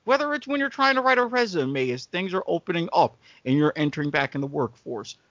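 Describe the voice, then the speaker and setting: A man's voice: a little high, animated, fairly quick, a bit bright, no echo, dull audio. A man co-hosting a podcast in casual banter, speaking in an emphatic, wordy, salesman-like way. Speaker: podcast co-host. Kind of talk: casual banter and ad-libbed sponsor read.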